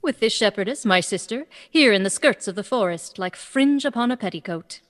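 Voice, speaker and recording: A woman's voice: mid-range voice, lively intonation, a little fast, fairly bright tone, long reverb tail, natural voice. A woman playing a witty, lovestruck young woman disguised as a youth in a Shakespearean radio play, eager and playful. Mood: teasing, witty. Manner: eager, playful, theatrical verse-like delivery.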